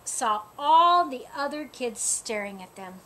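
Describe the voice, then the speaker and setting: A woman reading a picture book aloud in an expressive storytelling style. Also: high voice, measured pace, poor audio. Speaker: storybook reader. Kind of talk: expressive children's read-aloud.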